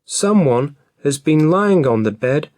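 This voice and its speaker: A small baby bear from a storybook with a shrill, raised voice, as a man acts him out. The pitch sits well above the man's own and the delivery is loud. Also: lively intonation, takes it slowly, no room sound, studio quality. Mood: upset. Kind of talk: storybook reading.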